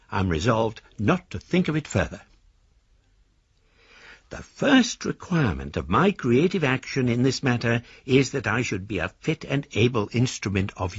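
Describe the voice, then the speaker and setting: Elderly British gent, florid and pompous, radio play; husky voice, dry slightly dull recording. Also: male voice, mid-range voice, no room sound, exaggerated voice. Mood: gleefully pompous. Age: older. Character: verbose, self-satisfied elderly gentleman, an amateur philosopher. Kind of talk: radio drama letter monologue.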